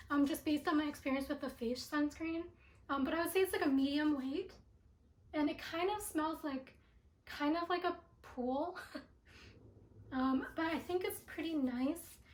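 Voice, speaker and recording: A young woman with a voice raspy from allergies, chatting through a beauty product review with lots of ums and likes. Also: sits high, normal speed. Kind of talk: casual product review vlog. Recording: echoey room.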